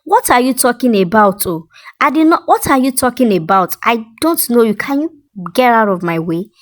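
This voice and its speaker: A Nigerian woman voicing a young influencer who stammers fast and defensively when caught out. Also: fairly high pitch, lively intonation, close to an everyday voice. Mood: panicked.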